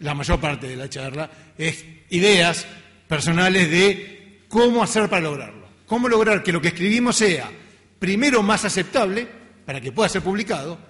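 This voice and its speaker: A man's voice: high-pitched, lively intonation, moderate pace, fairly bright tone. A middle-aged man with a Latin American accent giving an informal academic talk in a conversational, self-deprecating way. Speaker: research scientist giving a lecture. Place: lecture hall. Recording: reverberant hall.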